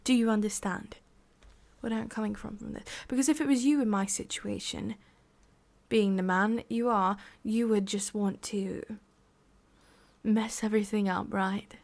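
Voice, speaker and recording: A woman's voice: medium pitch, fairly even intonation, measured pace, vocal fry, a little mellow. Woman acting a domineering English female boss, whispery menace. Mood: scornful, irritated. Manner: condescending, swearing, whispery and menacing. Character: sadistic, domineering female boss scolding an employee. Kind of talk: ASMR roleplay.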